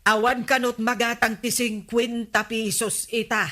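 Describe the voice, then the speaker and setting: An actress playing a fretful, gossipy village wife with a Filipino accent, complaining emphatically in a radio comedy drama. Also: female voice, on the high side, little pitch movement, measured pace, pushed and bright.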